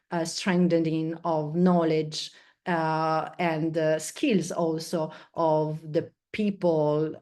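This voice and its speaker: A woman's voice: on the low side, darkish tone. A woman moderating an online panel, hesitant with many fillers, losing her voice. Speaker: webinar moderator.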